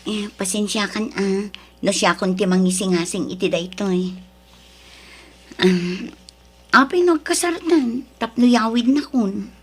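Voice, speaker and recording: A woman playing a young, soft-spoken girlfriend in an audio drama, with a Filipino accent. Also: mid-range voice, measured pace, long pauses, husky. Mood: coaxing. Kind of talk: Ilocano audio drama acting.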